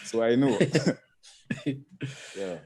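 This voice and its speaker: A man's voice: medium pitch, drawn-out words, a crowd in the background. A young man with a Caribbean accent, a guest comedian chatting casually and rambling as he searches for words. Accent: Caribbean English. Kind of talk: casual chat.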